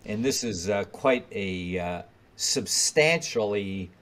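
A man hosting a podcast asks rambling, halting questions over a video call. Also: fairly low pitch, animated. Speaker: podcast host. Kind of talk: interview questions.

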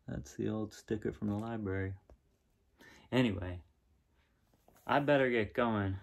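A man in his thirties reading a picture book aloud in a casual, unhurried way with pauses between phrases. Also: on the low side, vocal fry. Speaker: reader of children's picture books. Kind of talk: gentle read-aloud storytelling and casual chat. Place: small living room indoors, seen on camera.

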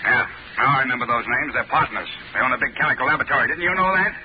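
Police commissioner male actor, skeptical, brusque, quick delivery, 1940s radio drama, muffled recording. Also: medium pitch, animated, over a noisy crowd, natural voice.

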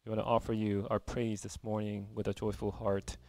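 A man welcoming a church service, reading a psalm and praying in a measured, reverent way. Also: on the low side, little pitch movement, gravelly, darkish tone, dry recording. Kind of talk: call to worship reading and prayer.

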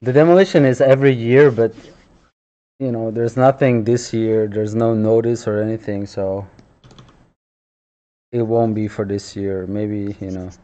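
A man in his thirties talks slowly and loosely on a live stream, his voice naturally loud yet dark in tone. He speaks into a headset in a small office, and the stream audio comes through dull and lo-fi. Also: on the low side. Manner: relaxed, chuckling asides. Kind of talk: casual chat while drawing on stream. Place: small office room, indoors.

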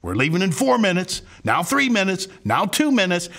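A man's voice: high-pitched, wildly swooping pitch, normal speed, gravelly, fairly bright tone. A man in his sixties, a pastor giving a conversational teaching to camera, emphatic and fond of rhetorical questions. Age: 60s. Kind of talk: conversational sermon to camera with acted-out examples. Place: furnished living room, indoors.

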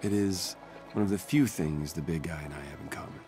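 A gentle middle-aged scientist in a game, full of regret. His very low voice is subdued and halting, with clear music behind it. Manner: hesitant, subdued. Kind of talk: naturalistic video game cutscene acting.

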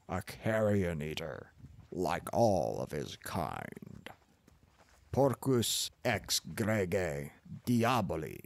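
Man putting on a deep rumbling, overbearing professor. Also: vocal fry, exaggerated voice. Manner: slow, mocking, commanding. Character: big gruff overbearing husband, sardonic professor.

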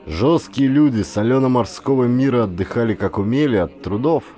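A man narrating an adventure audiobook as a dramatic, expressive, theatrical storyteller. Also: medium pitch, moderate pace, soft background music.

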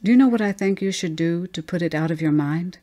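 A woman's voice: fairly low pitch, fast talker, dark tone, natural voice. A woman voicing an easygoing, practical husband in a story, in a breezy, dismissive, reasoning manner.

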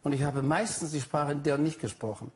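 Middle-aged man, husky, insistent talk show guest. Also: a little high, moderate pace. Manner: insistent, arguing. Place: TV studio with audience.

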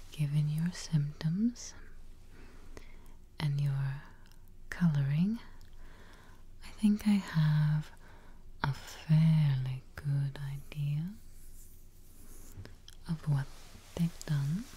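Young woman, soft breathy ASMR whisper, very mellow and dark, low-pitched, gentle, reassuring, close mic. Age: young adult. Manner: gentle, soothing, reassuring, unhurried. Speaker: ASMR roleplayer as caretaking witch. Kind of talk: soft-spoken ASMR roleplay. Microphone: close microphone. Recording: faint background noise, soft ambience.

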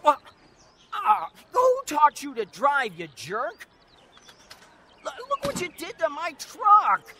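Teenage boy, high, sharp, indignant, hot-tempered, stammering, radio drama. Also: big pitch swings, unhurried, heavily acted. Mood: angry, panicked. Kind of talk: scripted radio drama dialogue.

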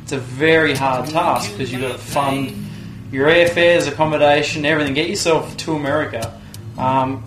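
Australian man, 20s, relaxed rambling interview talk, roomy sound with music under it. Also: on the high side, normal speed. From Tasmania, Australia. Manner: laid-back, musing, loose storytelling. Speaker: pro vert inline skater, interviewee. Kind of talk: sit-down profile interview. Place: indoor room with window blinds.

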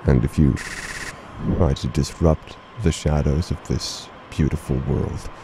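A man playing an unhinged, wounded, blinded radio announcer in a dystopian horror audio drama, speaking theatrically and formally with halting phrasing. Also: fairly even intonation. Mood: menacing, cold. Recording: noisy rumbling ambient bed under the voice.

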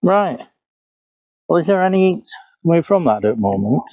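Fifties investigator, musing and thinking aloud outdoors, warm low-key voice, close dry sound. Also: male voice, very animated, normal speed, dry recording. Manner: musing, retracing steps aloud. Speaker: paranormal investigator. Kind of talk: casual walk-and-talk vlog. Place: woodland outdoors. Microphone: clip-on lapel mic.

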